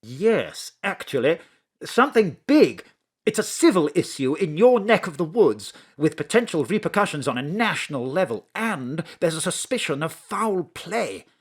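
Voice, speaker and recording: A woman's voice: low-pitched, big pitch swings, moderate pace, breathy edge, exaggerated voice. A woman playing a jolly, posh, awkwardly flirtatious male diplomat in a comic audio drama. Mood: jovial.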